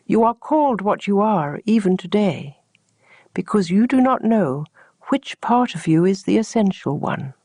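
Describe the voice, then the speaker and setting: A woman voicing the mysterious desert sands in a storybook tale, calm, patient and certain as they instruct. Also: mid-range voice, a little slow, no room sound, clean studio sound. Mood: calm, knowing.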